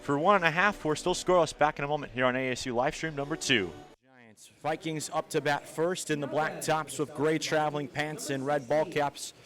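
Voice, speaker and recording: A man with an American accent doing live play-by-play commentary of baseball and softball as an announcer. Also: fairly high pitch, fairly even intonation, brisk, over music.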